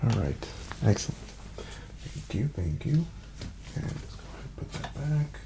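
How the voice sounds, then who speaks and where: A man speaking softly and breathily in an ASMR doctor roleplay, often slipping into a whisper as he gives gentle, reassuring, step-by-step instructions. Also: medium pitch, very animated, measured pace, creaky, noisy background. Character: calm, courteous male doctor examining a patient. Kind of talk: soft-spoken ASMR medical exam roleplay.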